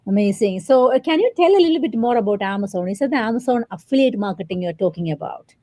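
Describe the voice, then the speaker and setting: A woman hosting a live interview over a video call, friendly and halting with lots of fillers. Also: medium pitch, animated, dark tone, no echo. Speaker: host.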